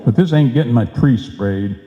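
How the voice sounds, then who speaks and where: A man's voice: mid-range voice, animated, moderate pace, warm tone, natural voice. A man playing a bumbling, boastful husband in an old-time radio comedy, talking in folksy, slangy wisecracks. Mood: wisecracking, dismissive. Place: live performance in a reverberant hall. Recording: echoey hall, dull live stage sound.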